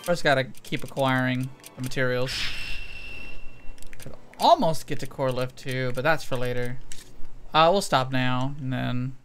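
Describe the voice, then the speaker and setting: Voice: medium pitch, fairly even intonation, measured pace, fairly bright tone. A young adult streamer giving enthusiastic, rambling, casually profane commentary while playing a video game. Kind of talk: casual gameplay commentary on a livestream. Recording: game music behind the voice. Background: video game music.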